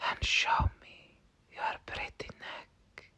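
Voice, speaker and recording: A woman acting as a stern, ancient vampire warrior aunt in an audio roleplay, with a husky, breathy, creaky voice and grave delivery. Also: bright, forceful tone, whispered. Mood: solemn, menacing. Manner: grave, slow, dramatic delivery.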